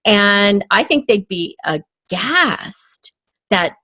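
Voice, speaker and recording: A woman's voice: medium pitch, sing-song, drawn-out words, sharp tone, no room sound. A woman talking as a guest in a conversational interview, explaining her ideas thoughtfully. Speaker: podcast guest, audio drama writer.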